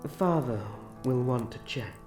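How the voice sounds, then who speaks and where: A man playing a young elf prince in a fantasy ASMR roleplay, formal, soft-spoken, gentle and protective. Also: medium pitch, lively intonation, normal speed, faint music behind, lightly acted. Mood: courteous, thoughtful. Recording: roomy echo, faint background noise.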